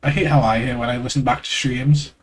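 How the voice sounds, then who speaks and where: A man with a stuffy, congested nose chats on a live stream, rambling and putting himself down, with the odd curse thrown in. He talks into an external desk mic at a middling pitch. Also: fairly even intonation, a little fast, a little hiss or hum, low-quality recording. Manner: rambling, self-deprecating, swears casually. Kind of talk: casual live-stream reading of a webcomic aloud.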